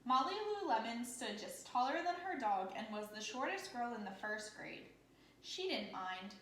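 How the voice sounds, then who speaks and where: Echoey, higher-pitched woman teacher reading aloud. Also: sing-song, moderate pace, fairly bright tone. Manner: simple child-directed phrasing, prompting questions. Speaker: elementary teacher. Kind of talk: interactive picture-book read-aloud with questions. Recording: echoey room with background noise.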